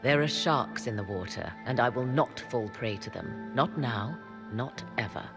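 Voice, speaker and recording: An actress playing a mysterious female witch advisor at court, speaking in an arch, archaic, measured way. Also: very deep voice, expressive, exaggerated voice. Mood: cool. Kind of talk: video game dialogue. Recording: game audio with music underneath.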